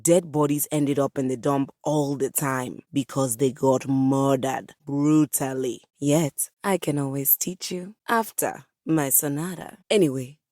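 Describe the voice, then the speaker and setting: A woman's voice: rumbling low, fairly even intonation, unhurried, hardly any pauses, mellow, no echo, studio quality. A woman voicing a personified piano in a video game, a pompous and socially awkward composer who speaks in formal, melodramatic diction. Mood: sincere, formal. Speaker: video game character voice actor. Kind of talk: theatrical video game character dialogue.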